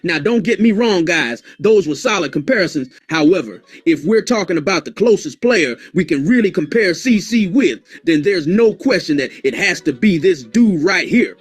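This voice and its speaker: A man narrating a sports highlight video from an informal script, addressing the viewers directly. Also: lively intonation, normal speed, few pauses, breathy edge, no echo.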